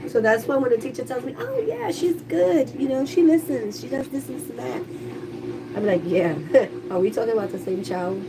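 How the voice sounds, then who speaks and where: A congested woman chats openly with her viewers in a home livestream, her voice a little stuffy. She sits back from the mic in a kitchen, and a busy household's noise carries clearly behind her. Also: fairly high pitch, lively intonation, moderate pace, recorded from a distance. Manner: chatty, candid, talks to viewers. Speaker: livestreaming YouTuber. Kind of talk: casual livestream chat.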